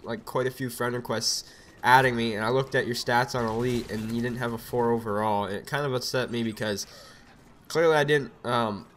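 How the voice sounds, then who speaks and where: A young man with a cold, his voice a bit raspy, talking loosely over his gameplay on a desk mic at home, rambling with lots of fillers. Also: medium pitch, fairly even intonation, brisk, a bit bright, a little hiss or hum. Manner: rambling, filler-heavy, laid-back. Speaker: gameplay commentator. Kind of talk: casual gameplay commentary. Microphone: USB desk mic.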